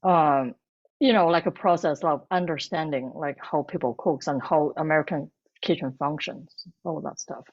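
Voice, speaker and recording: Halting woman, Chinese native speaker. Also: sits low, dull audio. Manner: halting, many restarts and fillers. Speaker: cookbook author and food blogger. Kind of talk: bookstore author talk.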